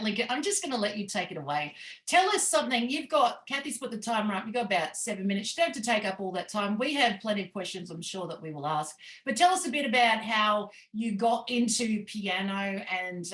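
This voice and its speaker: A woman's voice: mid-range voice, animated, brisk, fairly bright tone, reverberant. A woman hosting a networking meeting on a video call, chatting casually with lots of ums. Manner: chatty, rambling, many ums.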